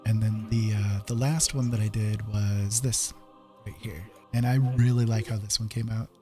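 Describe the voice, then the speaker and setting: A man's voice: on the low side, steady tone, a little mellow. Rambling man chatting over background music. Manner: halting, rambling, easygoing. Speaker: streaming digital artist and host. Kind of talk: casual livestream chat while painting. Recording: music playing under the voice.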